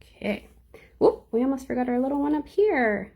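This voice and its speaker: A young woman with a slightly squeaky voice, chatting casually through a live nail art tutorial and answering viewers as she works. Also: moderate pace, creaky, dark tone. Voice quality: a little squeaky.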